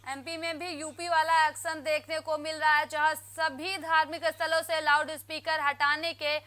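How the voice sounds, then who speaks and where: Bright high-voiced woman reading news. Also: little pitch movement, normal speed. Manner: crisp formal newsreader delivery. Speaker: news anchor.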